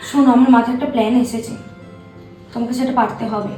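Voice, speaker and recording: An assertive wife in a melodrama short , played by a woman, speaks in a high voice with firm, righteous insistence. The room echoes around her and music runs faintly behind. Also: fairly even intonation, fast talker, mellow, close to an everyday voice. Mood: resolute. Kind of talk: short-film melodrama acting. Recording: roomy echo, faint background music.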